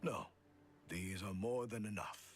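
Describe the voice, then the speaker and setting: An actor playing a calm, courteous old martial artist in a video game, speaking in a formal, measured way. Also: male voice, on the low side, natural voice. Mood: warm gratitude. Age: older. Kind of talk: scripted game voice acting.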